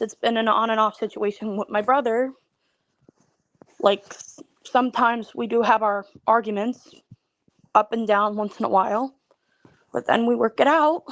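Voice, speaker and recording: A woman in her twenties venting in a personal video, talking in a halting, weary way with many pauses and restarts. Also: fairly high pitch, dry recording, poor audio. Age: 20s. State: emotionally tired. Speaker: content creator.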